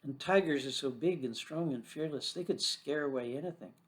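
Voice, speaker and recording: Male storyteller, somewhat high-pitched, scripted narration for children's fiction. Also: fairly quick.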